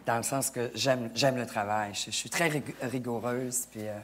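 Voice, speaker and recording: A middle-aged woman talks as a guest on an interview show. She speaks in a halting way, restarting and repeating words as she gathers her thoughts. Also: extremely low pitch, steady tone, slight background noise. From Acadia, Canada. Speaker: actress, guest. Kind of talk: casual interview chat.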